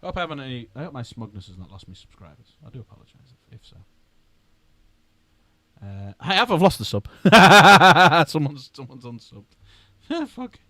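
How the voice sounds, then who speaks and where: Man from England, casual live-stream chat, animated pitch, laughs and swears casually. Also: moderate pace, no room sound. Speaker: streamer painting miniatures.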